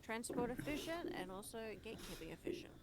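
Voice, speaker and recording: A woman's voice: on the high side, sing-song, fast, heavy background noise. A woman hosting a live gaming stream, chatting informally and playfully with friends, with some swearing. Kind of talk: casual gaming stream chat.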